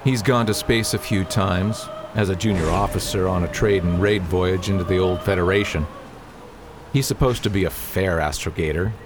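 A man playing a principled young nobleman in a radio drama, speaking formally and earnestly in a measured, argumentative manner. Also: low-pitched, natural voice. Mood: disapproving. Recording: clearly noisy outdoor ambience.

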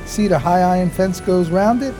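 A man's voice: high voice, normal speed, music in the background, natural voice. An actor playing a simple, loyal, streetwise homeless man of 1920s New York, talking in folksy slang for radio theater. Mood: chatty, matter-of-fact.

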